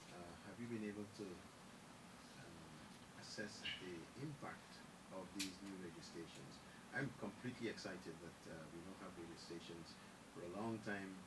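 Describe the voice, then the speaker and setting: A man hosts a radio interview in a lowish voice, stumbling through long questions with many fillers. Loud hiss and noise run under the recording. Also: normal speed. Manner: rambling, hesitant, many fillers. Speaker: radio host.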